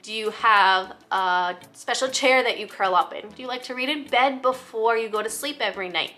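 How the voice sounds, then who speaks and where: A woman teacher giving an instructional talk to other educators, explaining things clearly in an encouraging manner. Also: on the high side, bright, forceful tone.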